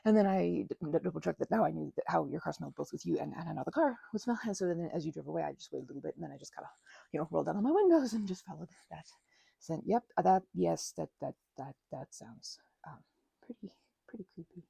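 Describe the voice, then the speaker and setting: A woman playing a timid, apologetic and grateful vampire in an audio roleplay, stammering and halting nervously in a soft, breathy voice. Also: low-pitched, expressive, no room sound, heavily acted. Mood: sheepish, embarrassed. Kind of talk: audio roleplay addressed to the listener.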